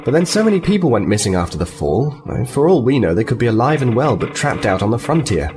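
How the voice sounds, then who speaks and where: Diplomatic lieutenant male actor, animated intonation, composed and explanatory, music behind, sci-fi audio drama. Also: mid-range voice, fast talker, lightly acted. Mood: grave, earnest. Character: earnest, diplomatic male starfleet lieutenant, liaison officer.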